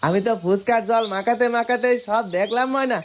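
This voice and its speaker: A man's voice: very high-pitched, steady tone, a little fast. A man playing a humble, loyal street-snack seller in a cartoon, earnest and admiring. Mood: amazed, admiring. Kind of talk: cartoon fairy-tale dialogue. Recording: noisy, with clicks and birdsong.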